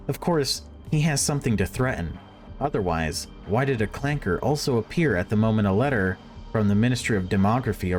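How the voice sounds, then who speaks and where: A man's voice: fairly low pitch, natural voice. A man voicing a timid yet resentful young data analyst, brooding and polite, in a first-person visual novel read-aloud.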